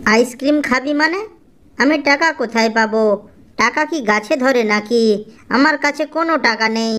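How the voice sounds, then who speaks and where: A woman's voice: fairly high pitch, normal speed, fairly bright tone, lightly acted. A woman voicing an exasperated, scolding mother in a children's cartoon. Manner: exasperated, curt. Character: harried, scolding poor widowed mother bird.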